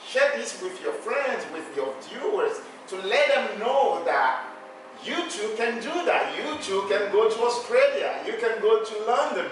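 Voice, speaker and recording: A man's voice: high voice, expressive, unhurried, a little hiss or hum. A man who teaches English hosts an interview, talking in an emphatic coaching tone and urging his students on. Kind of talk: motivational interview. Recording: echoey, distant mic, music behind.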